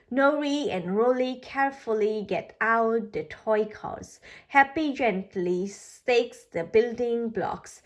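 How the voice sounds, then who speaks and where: A woman reading a children's storybook aloud, narrating expressively and deliberately for young listeners. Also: on the high side. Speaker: storybook reader.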